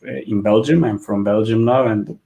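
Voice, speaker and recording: A man in his thirties, an English speaker from Turkey, talking as a guest on a video call, hesitant and filled with pauses and wry laughs. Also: lowish voice, dark tone. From Turkey (Kurdish region, Diyarbakır). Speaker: guest, business consultant and coach. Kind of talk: casual interview on a video call.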